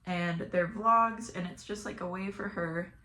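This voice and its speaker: A young woman with a Southern American accent, chatting flatly and casually while doing her makeup, sometimes dropping to a mumble or whisper. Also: fairly low pitch, measured pace, slight background noise. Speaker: beauty vlogger. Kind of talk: casual get-ready-with-me chat.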